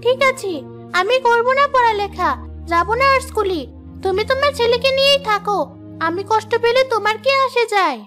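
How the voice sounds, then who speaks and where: A young cartoon schoolgirl with a very high, childlike voice. She sounds earnest and easily hurt, and music plays behind her. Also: normal speed. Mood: hurt, defiant. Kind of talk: cartoon voice acting.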